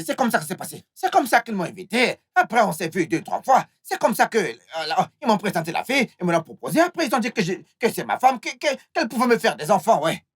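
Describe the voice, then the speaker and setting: A man's voice: sits high, a bit bright, slightly rough, exaggerated voice. A man playing a volatile, scheming husband in a film melodrama, repeating himself and stammering through his words. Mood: defensive, exasperated. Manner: repetitive, stammering delivery. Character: volatile, scheming unfaithful husband with a short temper.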